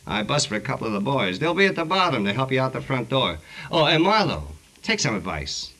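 An actor playing a curt, threatening nightclub crook in 1940s radio crime drama, clipped and tough. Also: male voice, mid-range voice, big pitch swings, brisk, sharp tone. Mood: menacing. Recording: noisy old 1940s radio recording, clicks.

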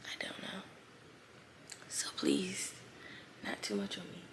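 Teen girl vlogger, just woke up, raspy and unhurried, phone held close in her bedroom. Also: extremely low pitch, wildly swooping pitch, in a whisper. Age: teens. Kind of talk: casual morning vlog talk. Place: bedroom, indoors. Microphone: phone held close at arm's length.

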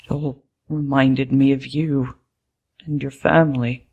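Woman voicing a slow, low regretful skeleton. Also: level tone, husky, narrow, tinny audio, full character voice. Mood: regretful, subdued. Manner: hesitant, apologetic, wordy. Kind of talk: fan comic dub acting.

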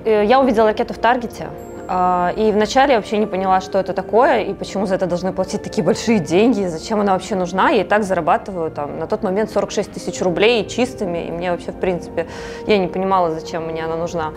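A woman in her twenties from Russia answers an interview question in a candid, expressive way. She talks quickly at a middle pitch. Clear music plays under her voice. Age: 20s. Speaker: beauty technician. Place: decorated indoor studio.

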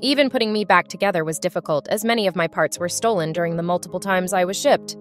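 A woman's voice: fairly low pitch, fast, faint music behind, close to an everyday voice. A woman voicing an ashamed, sorrowful warplane girl in a scripted drama, earnest and confessional. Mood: sorrowful recounting. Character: ashamed, battle-scarred female warplane, sorrowful and self-loathing.

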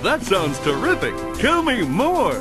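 A man's voice: high-pitched, huge pitch swings, a little slow, loud music behind the voice, exaggerated voice. A man voicing a vain, cowardly alien superhero in a video game, in a bombastic, melodramatic announcer manner. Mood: feigned excitement. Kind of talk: video game cutscene comic acting.

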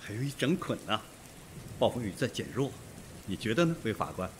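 A man's voice: on the low side, very animated, brisk, a little hiss or hum. A man playing a blunt, suspicious police detective in a Mandarin radio mystery, with a sarcastic manner. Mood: dry, wary.

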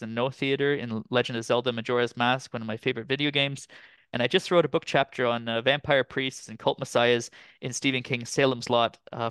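A man from Canada in his thirties giving a relaxed, chatty academic presentation over a video call, with frequent fillers. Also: mid-range voice, clear, resonant tone, no room sound. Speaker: guest lecturer. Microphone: computer mic on video call.

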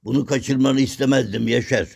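Jokey cartoon dad: hearty, teasing, affectionate folk puppet character with a creaky edge. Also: male voice, medium pitch, fairly even intonation, a little fast, a little mellow. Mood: warm, curious. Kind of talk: children's cartoon acting.

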